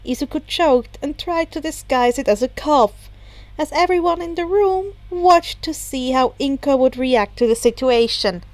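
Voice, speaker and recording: A woman's voice: sits high, animated, measured pace, darkish tone, dry recording. A woman reading fan fiction aloud as a scripted narration. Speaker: fan fiction audiobook reader. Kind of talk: scripted story narration with character voices.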